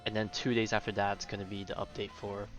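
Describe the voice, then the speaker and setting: A man's voice: on the low side, flat delivery, fast, fairly bright tone, soft background music. A young man chatting casually as he streams a game, rambling and often interrupting himself. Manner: rambling, self-interrupting, informal. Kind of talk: casual livestream chat over gameplay.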